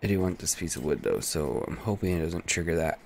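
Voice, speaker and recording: A man in his thirties with a very low, bassy voice and a gravelly edge to it talks through a video game, half to himself. He reads item descriptions aloud in a loose, muttering way. Soft music plays faintly underneath. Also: expressive, fairly quick. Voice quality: unusually deep bass voice today. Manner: muttering to himself while playing, reading item text aloud. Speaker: gaming streamer. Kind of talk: casual let's-play commentary. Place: home streaming room, indoors.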